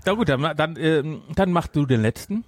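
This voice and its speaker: A middle-aged man hosting a podcast in casual, bantering chat, quick to interrupt. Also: fairly high pitch, lively intonation, no echo, clean studio sound. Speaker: podcast host.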